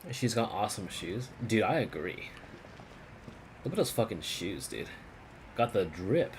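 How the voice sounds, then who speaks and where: Low-pitched, slangy game streamer. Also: big pitch swings, a little slow, a little hiss or hum. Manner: slangy, sweary. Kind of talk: casual livestream commentary. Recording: game music behind the voice.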